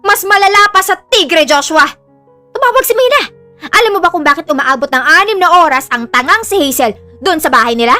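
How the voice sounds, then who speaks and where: A woman's voice: extremely high pitch, lively intonation, brisk, sharp tone, faint music behind, exaggerated voice. A woman with a Filipino accent playing a spiteful, greedy, scheming mother in an audio drama. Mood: furious.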